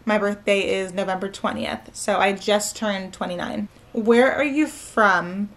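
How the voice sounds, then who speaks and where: A woman's voice: mid-range voice, unhurried. A woman in her late twenties, a nursing student from Maryland, chatting casually to camera at home at night. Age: 20s. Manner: relaxed, chatty, frequent "like" and "so". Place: living room floor at home, indoors. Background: faint room ambience, heater hum.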